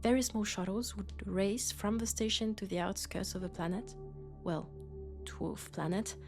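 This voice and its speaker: A woman with a French accent, sick with a cold, playing a gentle, sociable traveling doctor from Mars in an intimate sci-fi audio roleplay, chatty and soft. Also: mid-range voice, normal speed, over music, natural voice. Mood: chatty, amused. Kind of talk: intimate sci-fi roleplay acting, one-sided conversation.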